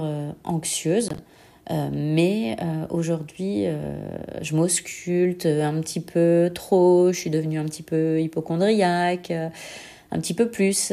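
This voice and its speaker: A young woman gives a personal testimony in a lowish, very mellow voice, slightly stuffed up by a cold. She speaks reflectively and hesitantly, pausing often as she searches for words. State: congested with a head cold. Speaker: bereaved mother giving a personal testimony. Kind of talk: intimate personal testimony, answering set questions.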